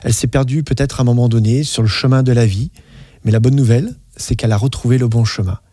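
A man's voice: mid-range voice, steady tone, quick pace, dark tone, breathy edge, no echo, studio quality. A man hosting a radio interview show, friendly and encouraging toward his guest. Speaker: radio host.

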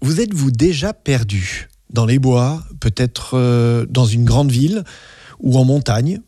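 A man's voice: medium pitch, expressive, unhurried, mellow, no echo, clean studio sound. A man hosting a radio interview show, friendly and encouraging toward his guest. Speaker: radio host.

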